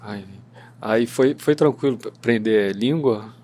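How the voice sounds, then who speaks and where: A man's voice: medium pitch, measured pace, a little mellow. A man with a Rio de Janeiro accent hosting a podcast, asking short curious questions. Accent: Rio de Janeiro Portuguese. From Brazil. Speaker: podcast host. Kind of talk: interviewing in casual chat.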